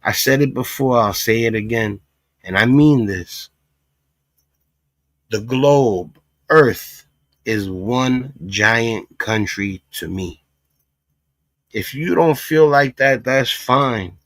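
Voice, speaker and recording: A man from Puerto Rico hosting a livestream, speaking at an average pitch and stressing his points. The recording is dry, with little room sound. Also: takes it slowly, long pauses, fairly bright tone, no room sound. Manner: emphatic, talks in repeated asides. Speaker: livestream commentary host. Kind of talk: casual reaction commentary.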